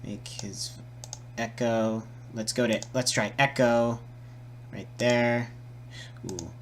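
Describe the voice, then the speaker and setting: A man presenting a casual, improvised software tutorial, muttering and repeating himself as he works. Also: mid-range voice, fairly even intonation, slow, a little hiss or hum. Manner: hesitant, muttering, repeating words while working.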